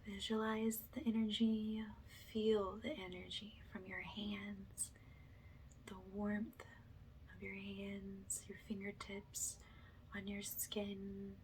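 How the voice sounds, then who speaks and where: Soft, slow, even-toned woman whispering ASMR. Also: mid-range voice, slight background noise, poor audio. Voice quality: soft, breathy, often slipping into whisper. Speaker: ASMR energy healer. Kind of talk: ASMR guided energy healing.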